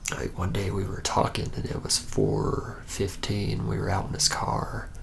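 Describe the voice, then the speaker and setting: A reluctant young vampire acted out by a man murmurs through an ASMR roleplay monologue. His voice is low and crackles heavily with vocal fry, halting and awkward, often sinking near a whisper. A faint noise sits behind him. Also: level tone. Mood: hesitant, uneasy recollection. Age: young adult.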